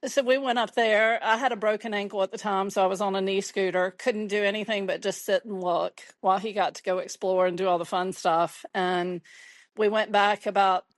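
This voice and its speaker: A friendly, talkative woman with a Southern US drawl, speaking as a podcast guest. She laughs easily and keeps the conversation flowing, at a middle pitch. Her voice is close and dry, with no room sound. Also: steady tone, fairly quick, no room sound. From Mississippi, US South. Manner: chatty, friendly, laughs often. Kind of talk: casual interview chat.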